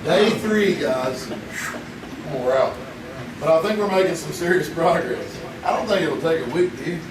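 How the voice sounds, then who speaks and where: A man's voice: a little high, expressive, normal speed, close to an everyday voice. A man playing a wisecracking young man digging a tunnel, acted live on stage as old-time radio drama. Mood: cheerful. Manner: joking, laughing. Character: jokey young male digger. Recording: echoey stage, distant mic, noisy.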